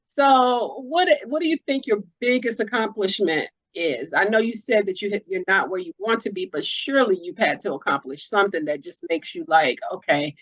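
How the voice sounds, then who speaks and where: A woman's voice: medium pitch, moderate pace, few pauses, fairly bright tone, dry recording, poor audio. A woman hosting a casual interview, talking in an informal, slangy way. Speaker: interviewer, host.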